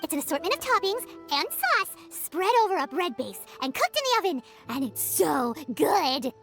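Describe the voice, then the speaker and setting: A woman voicing a tiny, childlike, excitable fairy companion in a video game, chirpy and given to exclaiming. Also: extremely high pitch, normal speed, soft background music, exaggerated voice. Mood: excited. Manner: speaks of herself in third person, exclaiming.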